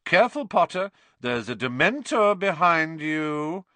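A man's voice: mid-range voice, huge pitch swings, a little slow, fairly bright tone, no echo, heavily acted. A man voicing a cold, spoilt schoolboy bully in an audiobook, with a sarcastic, sneering drawl. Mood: scornful. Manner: sarcastic, contemptuous. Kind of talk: audiobook character voice.

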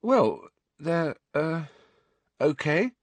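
Guy doing a nervous teen boy in an audiobook, higher voice, faltering, earnest. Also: big pitch swings, very slow, a bit bright, no echo, heavily acted. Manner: hesitant, faltering. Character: nervous, earnest teenage schoolboy.

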